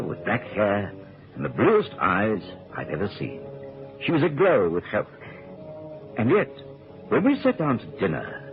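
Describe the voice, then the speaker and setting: An actor playing an earnest gentleman physician, recounting a case with dramatic storytelling in a radio drama. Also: fairly low pitch, creaky, exaggerated voice. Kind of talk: radio drama narration and acting. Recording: tinny old radio recording.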